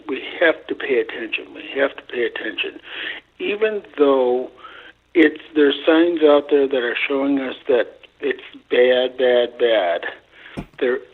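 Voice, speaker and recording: Male psychic guest, breathy rough voice, conversational with emphatic repetition, tinny telephone-band audio. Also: medium pitch. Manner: repeats phrases for emphasis. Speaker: psychic guest on a radio show. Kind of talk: casual conversational talk. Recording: tinny, narrow phone-like line.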